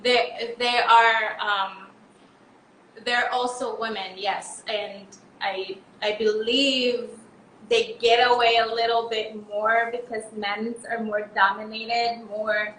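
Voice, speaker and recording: A woman guest telling her personal story haltingly, with many fillers and restarts, her emphasis rising on key words. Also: on the high side, little pitch movement, a bit bright, a little hiss or hum. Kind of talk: emotional personal testimony. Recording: roomy echo.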